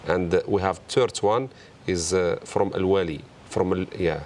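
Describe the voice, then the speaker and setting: Deep-voiced Moroccan man in halting English. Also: a little mellow, slight background noise. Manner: halting, searching for English words. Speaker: hotel co-owner. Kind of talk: casual interview chat.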